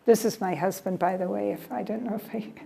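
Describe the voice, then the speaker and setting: A woman's voice: medium pitch, fairly even intonation, fairly quick, gravelly, warm tone, husky. An older American woman giving a casual travel talk to a library audience, chatty, repeating words and trailing off with "yeah". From United States. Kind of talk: casual presentation with audience questions. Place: library event room.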